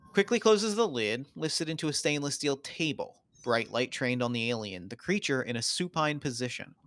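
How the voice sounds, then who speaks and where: A man's voice: mid-range voice, a bit bright. A man reading stage directions as the narrator of a scripted table read.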